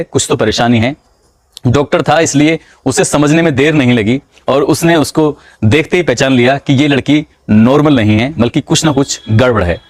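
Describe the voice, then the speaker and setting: A man narrating a true-crime story in a measured, dramatic storytelling manner. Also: medium pitch, no room sound, clean studio sound.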